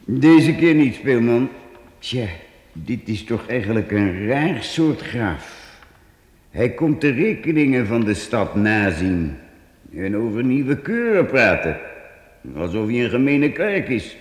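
An actor playing a mild, musing medieval monk in a radio drama. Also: male voice, medium pitch, big pitch swings, takes it slowly. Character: kindly medieval monk, man, middle-aged. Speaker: priest. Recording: long reverb in the room.

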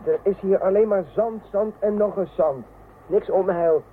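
Bossy eager space pilot guy, slightly high voice, thin noisy radio play sound. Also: measured pace. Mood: impatient, bossy. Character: eager, impatient male shuttle pilot, bossy yet teasing. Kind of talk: science fiction radio drama acting. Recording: thin phone-like band, clearly noisy.

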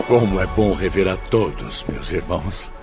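A man acting a benevolent, authoritative spirit leader in a Brazilian radio drama, husky, formal and measured. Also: deep voice, lively intonation, over music. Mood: gracious, formal. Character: benevolent, authoritative male spirit leader addressing his brothers.

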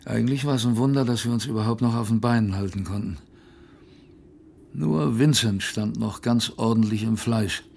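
An actor playing a young polar sailor who narrates his ordeal in a radio play, vivid, conversational and suspenseful, with a creaky voice. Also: on the low side, normal speed, a little mellow, slight background noise. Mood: reflective. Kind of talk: dramatic first-person radio play narration.

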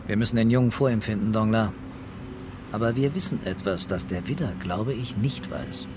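An actor playing a weary, quietly intense police commissioner in a radio crime drama. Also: male voice, on the low side, moderate pace, background noise, natural voice. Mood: brisk, commanding.

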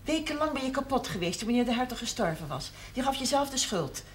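A woman's voice: high-pitched, sing-song, fast talker. An actress playing a hurt, clinging older mother in a television drama, speaking plaintively and with reproach. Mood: reproachful. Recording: faintly noisy old television soundtrack.

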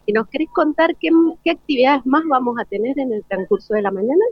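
A woman's voice: high voice, animated, fast, dry recording. Woman, Argentine accent, radio host, live interviewing, dry muffled broadcast sound. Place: school event, remote broadcast. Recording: dull lo-fi radio broadcast link.

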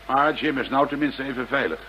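An actor playing a pragmatic lead investigator in a radio play, calm and matter-of-fact with quiet authority. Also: male voice, mid-range voice, fairly quick, natural voice. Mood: composed. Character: pragmatic, level-headed male leader of investigators. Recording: dull radio play sound, faint noise.

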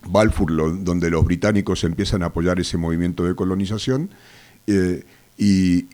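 Low-voiced Argentine man with a cold. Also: mellow. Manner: measured, hesitant, many fillers. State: has a cold. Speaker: activist guest. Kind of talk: radio interview. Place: radio studio.

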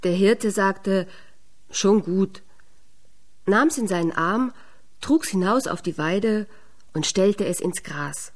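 A woman narrator telling a fairy tale with a measured storyteller delivery. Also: mid-range voice.

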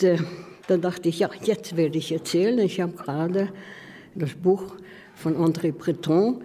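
An older woman with a foreign accent who is not a native German speaker, recalling personal memories in halting, anecdotal phrases. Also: lowish voice, mellow. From outside Sweden. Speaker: interviewee, artist recalling her life partner. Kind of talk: personal reminiscence. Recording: long hall reverb, noisy background.

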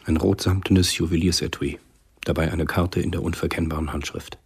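Radio drama narrator, man: bottom-of-the-range bass, very rough and breathy, scripted storytelling. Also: fairly even intonation, fairly quick. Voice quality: very deep, husky.

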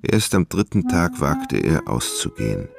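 Very deep, gravelly male narrator. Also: very animated, measured pace, loud music behind the voice. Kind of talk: scripted literary narration in radio drama.

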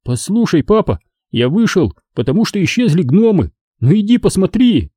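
A man reading a storybook as an excitable, indignant boy who pleads urgently. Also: high voice, normal speed, husky, no echo, studio quality, pitched above the performer's own voice, full character voice. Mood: pleading.

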